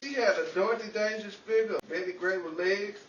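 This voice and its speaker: A man's voice: high-pitched, brisk, dull audio, lightly acted. A man playing a well-meaning, scatterbrained schemer who is forever in trouble, in an old-time 1940s radio comedy style. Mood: eager persuading. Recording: echoey, distant, noisy room recording.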